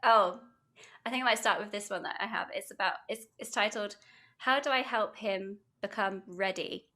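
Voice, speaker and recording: A woman's voice: medium pitch, normal speed, pushed and bright. A young British woman with a British accent, a podcast guest chatting casually and rambling with giggles. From United Kingdom. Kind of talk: casual podcast chat, reading aloud.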